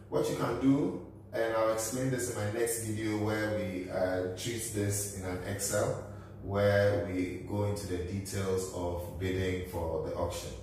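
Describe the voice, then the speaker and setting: A man's voice: on the low side, a little mellow, distant mic. A man in his thirties giving a methodical whiteboard lesson, talking step by step through the figures in a small office room. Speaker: finance educator. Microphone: camera at a distance. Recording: echoey room, voice from off-mic, faint hum.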